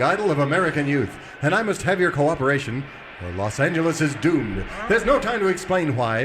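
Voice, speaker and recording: Guy doing a pompous heroic cowboy, highish voice, radio serial spoof, music playing. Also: natural voice. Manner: earnest, melodramatic, pompous. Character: noble, self-important heroic singing cowboy, idol of youth. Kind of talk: old-time radio serial parody acting.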